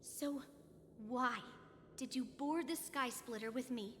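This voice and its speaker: A woman playing a confident, commanding young girl warrior in an anime game, speaking in a measured, formal, self-assured way. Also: sits high, a bit bright, slight background noise. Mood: calm, encouraging. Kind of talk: scripted anime game voice acting. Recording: long reverb, game music behind.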